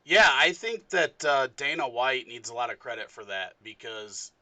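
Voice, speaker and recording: Male sports podcast host, bright forceful tone, dry close sound, loose rambling delivery. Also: mid-range voice, animated, moderate pace, dry recording. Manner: rambling, many fillers. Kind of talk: casual interview chat.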